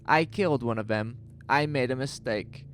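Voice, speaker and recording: A man's voice: medium pitch, moderate pace, a bit bright, slight background noise, voice pushed up, close to an everyday voice. A man playing a frightened, agitated survivor in a horror audio drama, speaking with urgent emphasis. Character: frightened, agitated man who escaped a takeover. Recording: music behind the voice.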